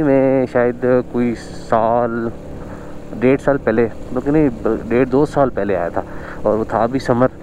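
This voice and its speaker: Man, casual ride vlog, mid pitch, faint wind and engine hiss under him. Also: dark tone, dull audio. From Pakistan. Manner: chatty, marvels at scenery, chuckles often. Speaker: vlogger. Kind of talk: casual motorbike ride commentary. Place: outdoors on a snowy mountain road.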